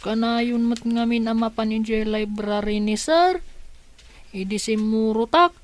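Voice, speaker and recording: Actress as a slow-talking puzzled younger woman. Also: fairly high pitch, close to an everyday voice. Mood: puzzled, wondering. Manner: questioning. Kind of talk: radio drama acting.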